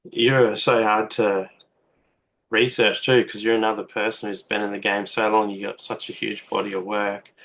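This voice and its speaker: A man's voice: fairly low pitch, level tone, normal speed, fairly bright tone. A man hosting a casual interview, eager and full of compliments, sometimes stumbling over his words. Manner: enthusiastic, complimentary, stammers a little. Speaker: interviewer, host.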